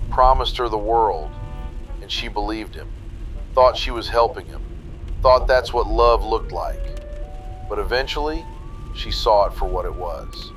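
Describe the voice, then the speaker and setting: An actor playing a blunt, foul-mouthed wrestling-history host who delivers a scornful monologue in punchy phrases. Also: male voice, fairly low pitch, a bit bright. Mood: accusing. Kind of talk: opinionated radio monologue within a drama.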